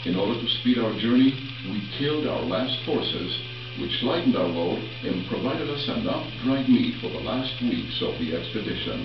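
A man's voice: mid-range voice, natural voice. A grave, weary conquistador survivor, as an actor voices him, recounts the journey in a solemn first-person telling. His voice sits in a very roomy space, heard from some distance on dull, muffled film audio with music playing underneath. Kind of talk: scripted first-person narration.

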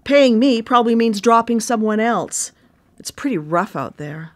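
An actress playing a devoted, possessive sister and anxious caretaker in a naturalistic radio drama, speaking in a halting, earnest, pressing way. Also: female voice, on the high side, lively intonation, lightly acted. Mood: weary, downcast.

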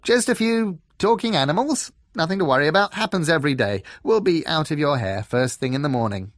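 A man voicing a sly, breezy trickster fox with a bright, smooth, evasive way of talking in a storybook. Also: high-pitched, huge pitch swings, moderate pace, dry recording, studio quality, pitched above the performer's own voice, full character voice. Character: glib, sly male trickster fox, breezy and self-justifying.

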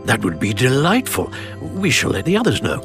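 An actor playing a dignified older wizard mentor in a video game, formal and deliberate in his speech. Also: mid-range voice, wildly swooping pitch, vocal fry, over music. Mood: genial, formal. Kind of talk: video game cutscene acting.

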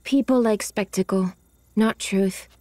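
A woman's voice: mid-range voice, unhurried, a little mellow, no echo, natural voice. A woman playing an earnest young member of a hero team in a video game. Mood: serious. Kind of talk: video game cutscene acting.

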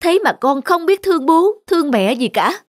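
A woman's voice: extremely high pitch, big pitch swings, normal speed, no room sound, clean studio sound. A woman playing a stern, worried mother in a cartoon dub. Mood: stern, disappointed. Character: stern, worried mother scolding her son. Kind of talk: Vietnamese cartoon dubbing.

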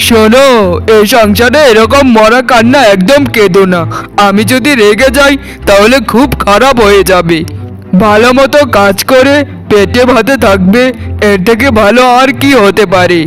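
Guy doing a mean young cartoon husband, high voice for a man, bossy and threatening, faint music behind. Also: moderate pace, a bit bright, poor audio. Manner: stern, threatening. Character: callous, overbearing young husband, devoted to mother. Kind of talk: cartoon voice acting.

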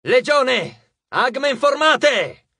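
A young male commander played by a man reading a storybook rallies his soldiers in battle. He shouts his orders urgently and decisively in a high, raised voice, recorded very dry. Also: animated, extremely slow, dry recording, exaggerated voice. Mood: commanding shout.

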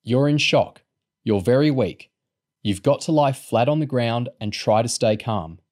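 A man's voice: medium pitch, measured pace, darkish tone, natural voice. A man voicing an earnest boy who speaks firmly and reassuringly in an audiobook. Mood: firm reassurance. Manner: firm, reassuring.

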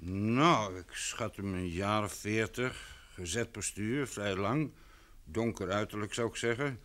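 Chatty low-voiced innkeeper. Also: male voice, measured pace, a bit bright. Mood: chatty, recounting. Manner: chatty, with verbal tags. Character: obliging, chatty male innkeeper. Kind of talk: vintage Dutch radio drama acting.

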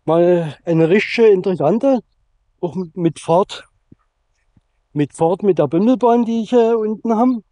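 A man in his fifties talking casually to a camera at arm's length outdoors, spontaneous and halting. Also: sits high, mellow, no echo. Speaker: vlogger. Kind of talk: casual outdoor vlog talk. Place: open grassy field outdoors.

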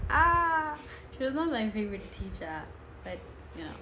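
Slow-talking young South African woman. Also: sits high, very animated, background noise. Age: 20s. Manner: chatty, easily distracted, joking asides. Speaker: YouTuber. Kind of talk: casual chat to camera. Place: living room at home, indoors.

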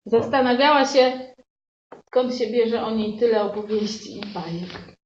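A woman narrating an improvised comic fairy tale live, in an echoey room with loud background noise. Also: fairly high pitch, measured pace, highs cut off. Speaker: improv storyteller and performer. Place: live venue with audience.

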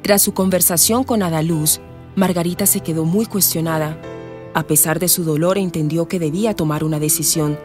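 A woman's voice: low-pitched, fairly even intonation, normal speed, a little mellow. A woman giving scripted narration for a radio drama. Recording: clear music behind the voice.

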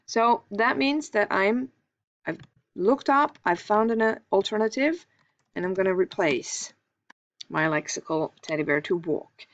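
A Norwegian woman teaching a screen-recorded lesson, explaining calmly and thinking aloud with small fillers. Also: medium pitch, a little slow, highs cut off.

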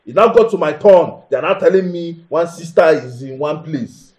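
An actor playing an aggrieved, entitled husband in a film drama, with a Nigerian accent and an indignant, repetitive manner full of rhetorical questions. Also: male voice, a little high, animated, normal speed, darkish tone, close to an everyday voice. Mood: sullen, aggrieved. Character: aggrieved, hot-tempered, entitled husband bound to tradition. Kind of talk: naturalistic film drama acting.

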